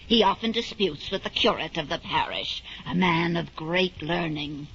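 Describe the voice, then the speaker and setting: An actress playing a gentleman's servant, telling of her master expressively in an old radio drama. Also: lowish voice, moderate pace, husky. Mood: confiding. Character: gossipy female servant of a gentleman's household. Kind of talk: 1950s radio drama storytelling. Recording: noisy, dull vintage radio recording.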